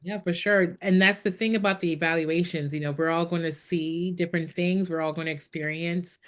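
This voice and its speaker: A woman's voice: lowish voice, steady tone, brisk. A woman hosting a podcast who closes the episode in a gracious, encouraging, conversational way. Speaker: podcast host closing the episode. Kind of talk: casual wrap-up.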